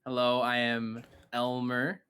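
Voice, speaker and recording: A man's voice: medium pitch, monotone, takes it slowly, fairly bright tone, smooth. A young man from Canada co-hosting a casual gaming podcast, rambling with lots of fillers and restarts. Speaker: podcast co-host.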